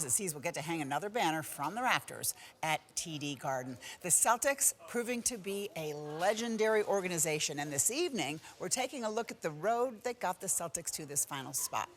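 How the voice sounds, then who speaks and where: A woman reads scripted news from the anchor desk. Her voice is a little lower than average for a woman. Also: a bit bright.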